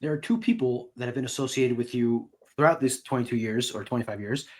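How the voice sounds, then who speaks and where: A man speaks as a podcast co-host, setting up a question for a guest in casual conversation. His voice is of middling pitch for a man. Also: quick pace, a little mellow.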